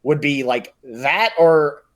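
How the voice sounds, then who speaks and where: A man co-hosting a podcast in casual, unscripted chat, talking in a loose, halting way and often qualifying what he says. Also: fairly high pitch. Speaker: podcast co-host.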